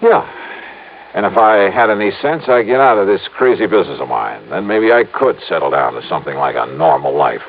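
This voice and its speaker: An American actor playing a wry, flirtatious insurance investigator in a 1950s radio detective drama, with slangy, breezy delivery. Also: male voice, sits low, very animated, fairly quick. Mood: playful, wry. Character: wisecracking, flirtatious male freelance insurance investigator. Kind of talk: 1950s radio detective acting, first-person narration. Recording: muffled, noisy old-time radio recording.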